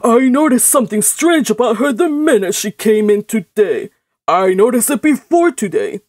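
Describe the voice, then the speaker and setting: A woman's voice: on the high side, animated, moderate pace, dry recording, clean studio sound. Woman voicing a blustering, scornful older uncle. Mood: blustering, dismissive. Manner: forceful, growling, declarative. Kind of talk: audiobook character voice.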